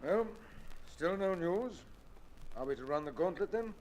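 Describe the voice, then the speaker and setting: A man's voice: high voice, expressive, measured pace. A man playing an earnest, anxious British naval first officer in an old-time radio drama, deferential and clipped. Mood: worried. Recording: noisy old radio recording.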